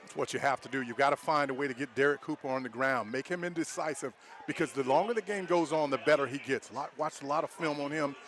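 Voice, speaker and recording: A man's voice: mid-range voice, fast talker, a bit bright, slight background noise. An American man giving live football analysis as a color commentator, conversational and emphatic.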